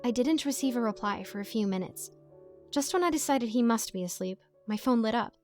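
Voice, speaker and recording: Woman, fairly high pitch, scripted horror narration, dramatic, light background music. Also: animated, a little fast, darkish tone. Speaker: story narrator.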